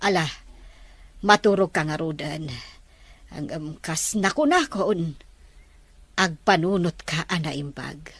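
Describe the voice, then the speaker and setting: A woman's voice: low-pitched, slow pace, long pauses, breathy edge, no echo, exaggerated voice. A woman with an Ilocano accent acting a patient, pleading mother in a family drama. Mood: gently pleading. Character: patient, pleading mother advising her grown son.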